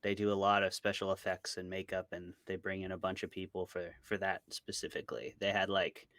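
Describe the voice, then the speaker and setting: Male interview guest, low-pitched and crisp-edged, dry sound, speaking candidly and with firm opinions in relaxed conversation. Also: a little fast, no echo. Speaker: interview guest, horror author. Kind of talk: casual chat.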